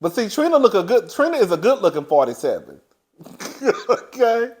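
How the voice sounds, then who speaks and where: Male host, 30s, animated gossip monologue with a slightly high voice and frequent laughter. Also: moderate pace. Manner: animated, laughs often, chatty.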